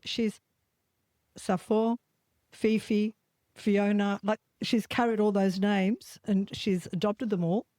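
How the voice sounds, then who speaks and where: A middle-aged Australian woman hosting a podcast, telling family stories in a chatty, animated way and acting out quoted voices. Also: medium pitch, no echo. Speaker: podcast host. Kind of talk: casual storytelling chat.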